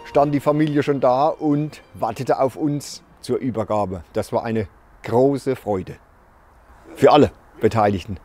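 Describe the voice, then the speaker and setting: A man's voice: medium pitch, unhurried, darkish tone. A man giving a casual, unscripted account as a volunteer builder in an interview style. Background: background music.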